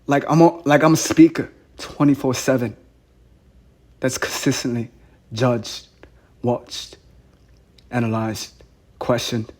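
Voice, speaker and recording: A man's voice: mid-range voice, slow, pauses often, darkish tone. A man calling in, earnest and insistent, often repeating himself. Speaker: caller. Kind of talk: phone call.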